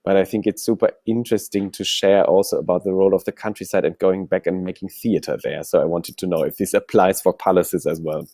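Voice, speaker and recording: A man's voice: deep voice, steady tone, fairly quick, few pauses, mellow, dry recording, clean studio sound. A man moderating a forum, putting questions to panelists in a hesitant, exploratory way.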